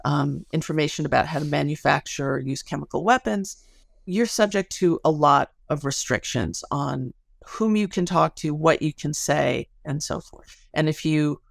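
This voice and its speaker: A woman's voice: low voice, normal speed, gravelly, no echo, studio quality. A woman speaking as an academic guest in an interview, explaining research conversationally with everyday examples.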